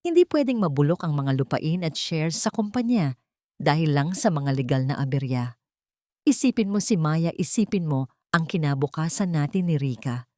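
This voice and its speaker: Woman doing a low, pushy greedy old mother-in-law. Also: expressive, moderate pace, a little mellow, dry recording, clean studio sound. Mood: pressuring. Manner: pressing, commanding.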